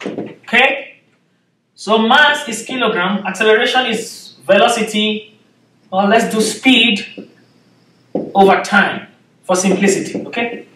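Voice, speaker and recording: A man's voice: sits high, sharp tone, distant mic. Nigerian-accented man lecturing in an echoey room. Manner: explains step by step, often checks in with "okay?". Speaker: physics lecturer. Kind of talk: whiteboard lecture. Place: classroom with whiteboard, indoors. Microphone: far camera.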